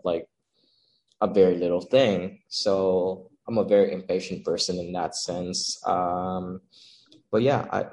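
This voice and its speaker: A young man from the Philippines, a cook and pop-up owner, chatting casually as a guest and laughing often. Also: sits low. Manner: relaxed, laughs often, many fillers like "um" and "like". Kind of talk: casual interview chat.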